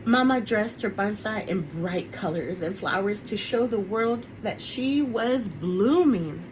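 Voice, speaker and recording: A woman's voice: mid-range voice, animated, takes it slowly, over music, low-quality recording. A woman who is an author, reading her children's picture book aloud on an online webinar recording. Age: adult, parent of a young son.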